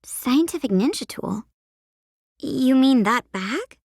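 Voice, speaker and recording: High-voiced inquisitive young girl ninja. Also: animated, slow pace, darkish tone, no room sound, clean studio sound, full character voice. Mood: curious. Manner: questioning, observant. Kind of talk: English anime game dub acting. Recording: music behind.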